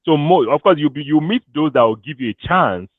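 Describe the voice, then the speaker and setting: A Nigerian man giving career advice to an audience in a casual, animated way, slipping into pidgin and laughing often. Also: a little high, brisk, dry recording. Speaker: career coach. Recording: thin phone-like dry audio.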